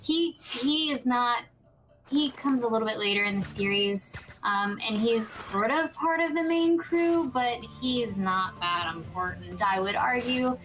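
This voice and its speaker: Higher-voiced woman in casual gameplay chat. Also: unhurried, poor audio. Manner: conversational, explanatory. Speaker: podcast host presenting gameplay. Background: music behind the voice.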